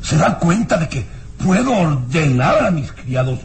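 An actor playing an arrogant, irascible baron in a vintage radio drama, with a theatrical, sinister, scornful delivery. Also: male voice, fairly high pitch, very animated, a little slow. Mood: indignant, scornful. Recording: noisy old radio drama recording.

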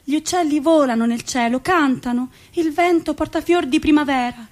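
Woman as a high-voiced plaintive captive girl. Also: moderate pace, a little mellow, close to an everyday voice. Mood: wistful. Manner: plaintive, lyrical. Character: plaintive, wistful young daughter locked away by her father. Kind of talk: theatrical radio acting.

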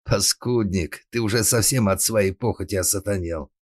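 A man's voice: sits low, lively intonation, normal speed, dry recording, studio quality. A man voicing a jealous middle-aged wife in a comic audiobook, shrieking, hissing and scolding. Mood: furious. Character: shrill jealous middle-aged wife, furious mistress of the house.

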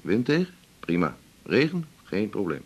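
A man's voice: fairly low pitch, wildly swooping pitch, normal speed, breathy edge, full character voice. A man acting a restless, self-absorbed doctor in a radio drama, musing and emphatic with a slightly theatrical touch. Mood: determined. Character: restless, self-absorbed male doctor, earnest about marathon running.

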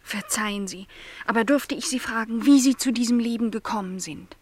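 An actress playing a shy but curious young housemaid in a radio play, speaking hesitantly and very politely. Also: female voice, fairly high pitch, brisk, natural voice. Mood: apologetic, probing. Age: young adult.

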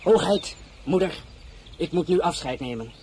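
An actor playing an ardent young soldier, eager for glory, in an excitable, declamatory radio drama style. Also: male voice, fairly high pitch, moderate pace, a little hiss or hum, lightly acted. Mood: proud, earnest. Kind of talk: Dutch radio drama acting.

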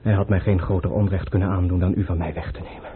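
An actor playing an emotional, loyal prince in a radio play, earnest and theatrical. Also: male voice, low-pitched, flat delivery, quick pace, lightly acted. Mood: distressed. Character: emotional, loyal male prince, heir to the throne. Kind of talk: Dutch radio play acting. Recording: noisy, tinny old radio recording.

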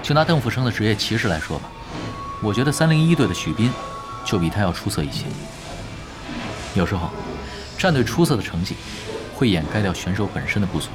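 Wry, animated male gaming veteran. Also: fairly low pitch, music in the background. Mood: calm, analytical. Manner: wry, unhurried, self-assured. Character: laid-back, cocky male veteran pro gamer. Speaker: pro gamer. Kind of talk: audio drama dialogue.

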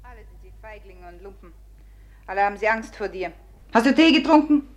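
An actress playing a proud, reckless young woman in a 1950s radio drama, speaking in a sharp, scornful way. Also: fairly high pitch, expressive, fairly quick, natural voice. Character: proud, bitter young Russian beauty, reckless.

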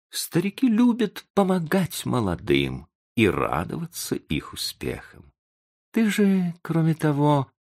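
Male narrator as elderly professor, creaky, slow, kindly but wounded, plaintive questioning, widely varied pitch, storybook drama. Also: heavily acted. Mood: tender, coaxing. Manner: halting, plaintive, rhetorical questions. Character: kindly, wounded old professor, seventy, emotional.

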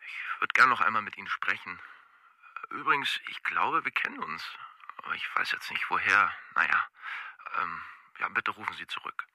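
A man playing an uneasy witness leaving a phone message in a radio crime drama, hesitant and halting. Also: low-pitched, lively intonation, pushed and bright, no echo, full character voice.

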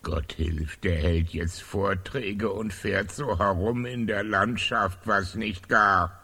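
A world-weary old knight in a satirical radio play, rings through a long, hall-like reverb. He grumbles and rambles in archaic words. The delivery is very unhurried, with a sharp, bright edge to the voice. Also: fairly low pitch, vocal fry, breathy edge, heavily acted. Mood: grumpy, weary. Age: older. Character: grumpy, world-weary old knight living inside his armour.

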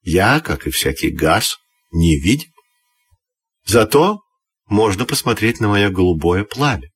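Princely talking gas for a storybook, male actor: low, playful, self-assured, modest about his rank. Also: very animated, a little slow, husky, dry recording, full character voice. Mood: playful, dignified. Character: invisible natural gas, playful modest blue-flamed prince.

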